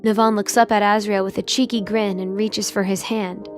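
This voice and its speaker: A woman's voice: mid-range voice, flat delivery, moderate pace, faint music behind. A woman reading scripted, dramatic story narration for an audio drama.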